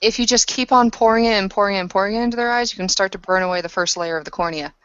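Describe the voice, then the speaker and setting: A woman's voice: medium pitch, rapid-fire, fairly bright tone, clean tone, no room sound, dull audio. A woman roleplaying in a tabletop game, talking casually and bluntly with plenty of swearing. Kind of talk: in-character roleplay chat.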